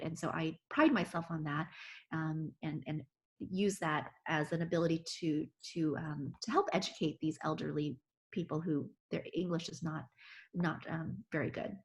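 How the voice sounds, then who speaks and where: A woman physician giving an oral history interview, talking earnestly with many restarts and fillers. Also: deep voice. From United States.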